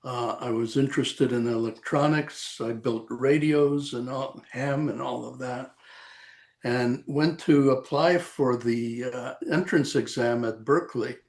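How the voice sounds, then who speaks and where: An elderly man in his eighties from El Salvador tells his story slowly, pausing often and filling the gaps with "uh." His voice sits at an ordinary pitch for a man, and he wanders through his memories in a relaxed, unhurried way. He is speaking over a video call. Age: 80s. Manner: slow, rambling, halting with frequent "uh". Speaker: retired radiation oncologist, guest. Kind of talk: reminiscing interview.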